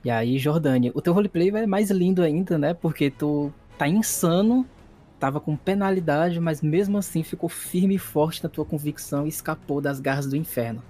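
A man's voice: fairly high pitch, normal speed, dark tone, soft background music. A man with a Brazilian accent running a tabletop horror game, moving between dramatic narration and casual refereeing. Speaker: game master.

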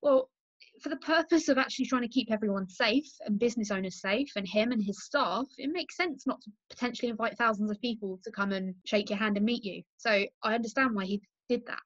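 A British woman hosts a political podcast in a fairly high voice. The recording is roomy and a little muffled, with an informal discussion style. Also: a little fast. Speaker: podcast host.

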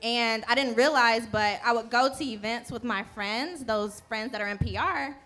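A woman's voice: fairly high pitch, moderate pace, bright, forceful tone, low-quality recording. A woman from Charlotte, North Carolina, speaking casually on a panel with many fillers. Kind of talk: panel discussion.

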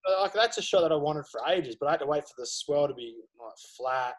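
A man's voice: a little high, expressive, fast. A man, chatting casually as a podcast guest, rambling with lots of fillers. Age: 30s. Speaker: guest photographer.

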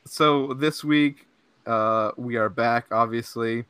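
A man's voice: medium pitch, takes it slowly, bright, forceful tone, smooth, dry recording. A young man hosting a podcast, chatting casually and rambling, laughing often and saying "like" and "dude" a lot. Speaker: podcast host. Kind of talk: casual chat. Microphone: podcast mic.

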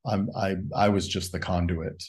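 A man's voice: sits low, level tone, moderate pace. A man speaking as a guest in a reflective spiritual conversation, musing and asking a string of rhetorical questions. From United States.

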